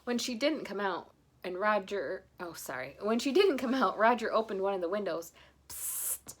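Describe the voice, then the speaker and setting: A woman reads a children's storybook aloud in a middle-pitched voice. She narrates as a read-aloud and drops in playful asides of her own. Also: animated, moderate pace, slight background noise. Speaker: storybook reader.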